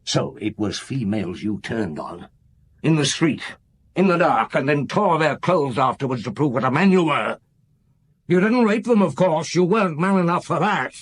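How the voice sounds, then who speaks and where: An actor playing a shrewd, relentless police chief inspector in a radio drama, probing and measured as he interrogates. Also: a little high, lively intonation, husky. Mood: accusing. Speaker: actor in lead detective role.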